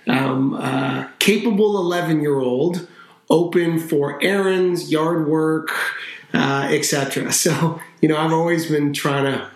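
A man in his thirties from Canada, a company founder talking about his life in a casual podcast interview, relaxed and chatty with lots of fillers. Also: a little high, unhurried. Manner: relaxed, talkative, with frequent fillers and restarts. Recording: roomy echo.

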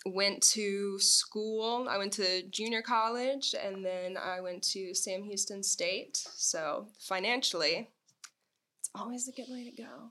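A woman's voice: medium pitch, slow, pushed and bright. A woman from Texas chatting casually as a podcast guest. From Northeast Texas. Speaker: podcast guest, aspiring actor. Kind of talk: casual chat.

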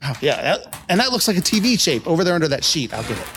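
Guy acting excitable regular dude in an audio drama, voice jumps all over, bit wry. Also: a little high, quick pace, slight background noise. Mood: eager, casual. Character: Jeff, wry man thrust through time, excitable, eager.

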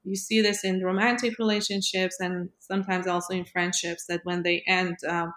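Female discussion voice, measured and analytical, reflective delivery, noticeably roomy sound. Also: on the low side, little pitch movement, fairly bright tone. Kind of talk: reflective explanatory conversation.

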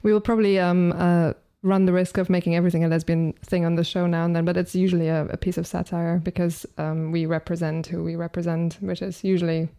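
Podcast host lady, flat mellow tone, dry teasing humor. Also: fairly low pitch, fast talker, few pauses, clear, resonant tone. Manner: wry, teasing. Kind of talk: casual chat.

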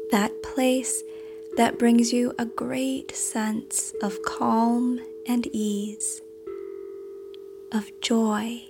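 A woman reading a script as a meditation guide, with a soft, deliberate, instructional delivery. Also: a little high. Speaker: meditation guide and podcast host. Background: background music.

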